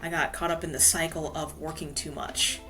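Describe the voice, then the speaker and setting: A woman's voice: deep voice, little pitch movement, brisk, sharp tone, soft background music. A woman from Hawaii, a guest author telling her story in a casual podcast interview, filling her talk with "you know". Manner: chatty, many "you know" fillers.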